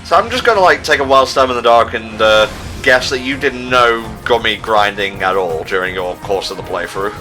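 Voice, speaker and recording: A man's voice: lowish voice, lively intonation, moderate pace, sharp tone. A man giving casual commentary as host of a video game playthrough. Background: game music.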